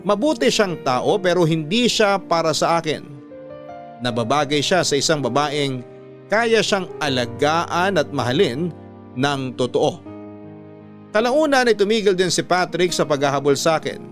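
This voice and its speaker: High-pitched male story narrator over music. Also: expressive, normal speed. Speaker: radio drama narrator reading a letter. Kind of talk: scripted first-person story narration.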